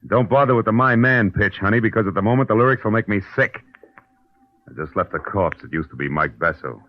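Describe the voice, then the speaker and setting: A man's voice: fairly low pitch, animated, brisk. An actor playing a tough, wisecracking private detective in an old-time radio drama, sardonic and clipped, narrating his own case. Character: hard-boiled private detective, tough, wisecracking adult man. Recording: dull old radio recording, music behind.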